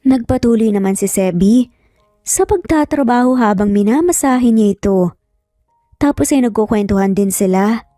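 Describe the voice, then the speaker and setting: A woman's voice: a little high, moderate pace, warm tone, no room sound, studio quality. A Filipino woman reading a scripted romance story as a narrator. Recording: background music under voice. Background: music.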